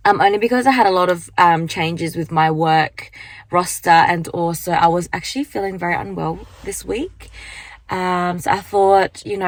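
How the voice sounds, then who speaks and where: Young woman, croaky morning voice, rambling casual vlog chat into a handheld phone, dry close sound. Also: on the low side, no room sound. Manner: chatty, rambling, lots of fillers. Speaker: vlogger. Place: car at the beach, then walking outdoors.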